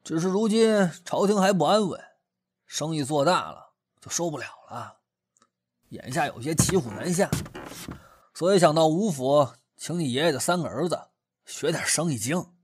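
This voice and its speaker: An actor playing a brash, boastful, foul-mouthed burly male ex-monk in an audio drama, loud and swaggering. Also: fairly high pitch, lively intonation, moderate pace, slightly rough. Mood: scheming. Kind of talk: amateur audio drama acting.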